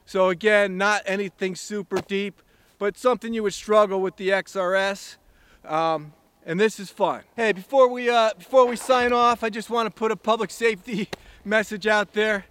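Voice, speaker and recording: A man's voice: sits high, fairly even intonation, normal speed, fairly bright tone, smooth. A man giving an enthusiastic, plainspoken review outdoors on a snowy farm, talking casually and a bit rambling. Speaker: snowmobile reviewer.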